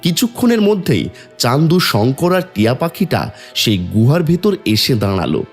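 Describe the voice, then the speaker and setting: Animated male cartoon story narrator. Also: medium pitch, moderate pace, breathy edge, soft background music.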